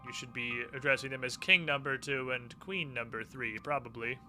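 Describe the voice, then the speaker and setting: A male streamer reads out a flippant former military villain from a video game, now an uneasy ally. The voice is bright and punchy, smug and casually mocking. Faint music sits underneath. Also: medium pitch, fairly even intonation, moderate pace. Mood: breezy explaining.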